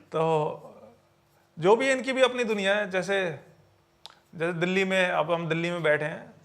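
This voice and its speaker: A man with a high voice and a noticeable creak in it tells stories on a podcast. He talks conversationally, explains as he goes and keeps checking that the listener follows. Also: unhurried, fairly bright tone.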